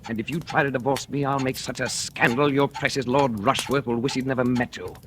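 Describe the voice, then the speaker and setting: A man's voice: mid-range voice, steady tone, brisk, close to an everyday voice. A man playing a bitter jealous husband. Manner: bitter, cutting, menacing. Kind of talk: 1940s radio acting. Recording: old radio broadcast with hiss.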